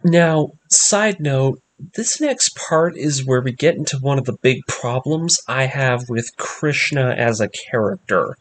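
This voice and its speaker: A man's voice: medium pitch, unhurried, slight background noise. A man reading a scripted recap of an anime story as the narrator of a breakdown series.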